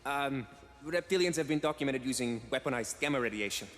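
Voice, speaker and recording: An actor playing an earnest, eccentric, nerdy mechanic in a video game cutscene, precise, literal-minded and hesitant. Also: male voice, medium pitch, expressive, brisk, big-room sound, faint music behind, close to an everyday voice.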